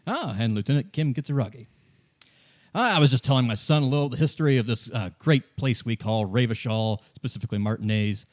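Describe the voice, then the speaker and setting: A man's voice: medium pitch, expressive, brisk, lightly acted. A man voicing a polite, history-proud father in improvised tabletop roleplay, chatty and stammering. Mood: proud, welcoming. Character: well-kept polite father, history-proud, flustered small talker.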